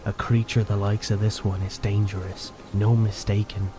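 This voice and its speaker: A man plays a distrustful ranger in a fantasy audio drama. He speaks quietly and formally in a low, flat voice, with music clearly behind him. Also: moderate pace, lightly acted. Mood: wary, grim.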